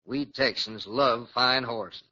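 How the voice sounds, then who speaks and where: A man's voice: medium pitch, slow, pushed and bright, heavily acted. An actor playing a respectful, plain-spoken Texan city councilman in a folksy 1940s radio drama style. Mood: humble, sincere. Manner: folksy, courteous. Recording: dull lo-fi 1940s radio recording.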